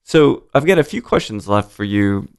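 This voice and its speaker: Male podcast host, deep gravelly voice, easygoing and profane. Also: sing-song, moderate pace. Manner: laid-back, swears casually. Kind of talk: casual interview chat.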